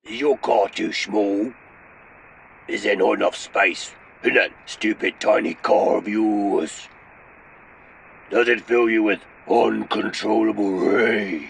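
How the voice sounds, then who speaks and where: Casting: ranting, furious ogre, comic radio spot, heavy rasping fry, drawn-out delivery. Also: male voice, on the low side, a little hiss or hum. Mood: indignant ranting. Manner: ranting, exploding into yells. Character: furious raging ogre in a car dealer ad. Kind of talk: comedic radio ad acting.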